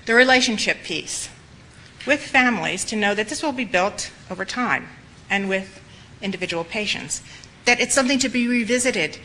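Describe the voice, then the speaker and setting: A woman lecturing medical students, her voice bright and slightly hoarse from a cold. She speaks earnestly and reflectively, leaning on repetition for emphasis, with faint noise in the background. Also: medium pitch, rough recording. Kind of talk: spoken lecture.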